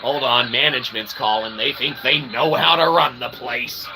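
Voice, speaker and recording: Male live stream host, fairly high gravelly voice, wordy mock-formal chat, noticeable background noise. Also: steady tone, moderate pace. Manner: wordy, pseudo-formal phrasing with frequent "okay?" tags. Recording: clear background noise, room ambience.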